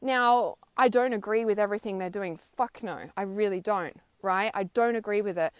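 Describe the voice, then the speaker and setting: A woman's voice: medium pitch, normal speed, clean tone, dry recording. A woman in her thirties talking casually outdoors in an emphatic, chatty way, often tagging her points with "right?" and "okay?". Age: 30s. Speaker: spiritual vlogger. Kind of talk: casual livestream monologue. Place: outdoors, wind audible.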